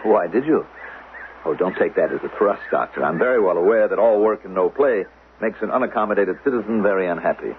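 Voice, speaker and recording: An actor playing an officious, probing teacher and suspected spy in a 1970s radio drama, formal and needling, with a husky voice. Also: male voice, low-pitched, lively intonation, brisk, a little hiss or hum. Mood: officious, insistent. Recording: narrow, phone-like old radio sound.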